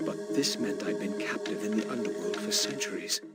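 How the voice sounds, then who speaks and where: An actor playing a bitter, brooding undead wraith, narrating a video game in a grave, formal, theatrical manner. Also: male voice, lowish voice, lively intonation, moderate pace, loud music behind the voice, lightly acted. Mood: grave, reflective. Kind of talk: scripted dramatic game narration.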